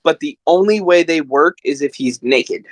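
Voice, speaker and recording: A man's voice: on the high side, normal speed, no room sound. A man chatting casually as a podcast guest, telling stories in a rambling, enthusiastic way. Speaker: podcast guest and amateur voice actor. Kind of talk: casual chat.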